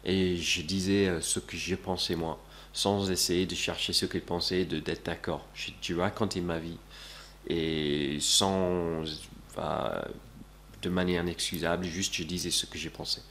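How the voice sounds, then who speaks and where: A man's voice: sits low, steady tone, measured pace, a bit bright, a little hiss or hum. A young man seated in a small office, earnestly explaining his approach to the camera as a coach. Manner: earnest, coaching, many restarts. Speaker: dating coach. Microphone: camera at close range.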